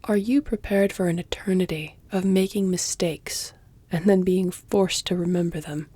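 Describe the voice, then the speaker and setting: Woman acting a centuries-old female vampire, audio roleplay, dark mellow tone, very close dry sound, measured, teasing, breathy near-whispers. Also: lowish voice, steady tone, dry recording. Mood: grave, warning. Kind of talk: intimate audio roleplay, scripted dramatic acting. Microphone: close, dry studio-style mic.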